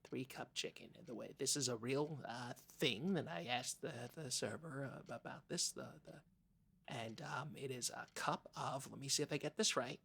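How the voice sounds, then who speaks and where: Husky, halting man on a comedy podcast. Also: medium pitch, a bit bright. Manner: halting, hesitant, rambling. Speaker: podcast co-host. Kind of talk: improvised comic podcast chat.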